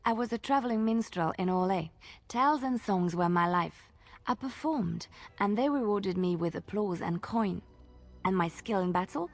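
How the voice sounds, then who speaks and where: An actress with a French accent playing a guarded, gently evasive lay sister and former minstrel in a fantasy video game. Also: female voice, mid-range voice, animated, moderate pace, dry recording, soft background music. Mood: wistful, evasive.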